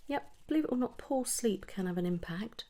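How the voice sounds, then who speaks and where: A soft-spoken woman in an ASMR medical roleplay, gently asking questions in a breathy voice that often slips towards a whisper. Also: a little high, lively intonation. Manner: gentle, unhurried questioning with pauses for answers. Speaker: ASMR performer playing a trichologist.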